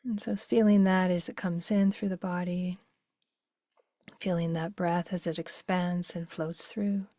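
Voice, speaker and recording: Low-voiced woman guiding a meditation. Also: little pitch movement, no echo, studio quality. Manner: gentle, unhurried, soothing delivery with soft breathy phrase endings. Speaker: meditation guide. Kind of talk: guided meditation.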